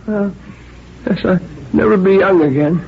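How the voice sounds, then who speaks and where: A man's voice: high voice, a little slow, creaky, voice pushed up. A man voicing a stubborn, cantankerous, dying old woman in a story reading, rambling and querulous. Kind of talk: dramatic short story reading. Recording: muffled, noisy audio.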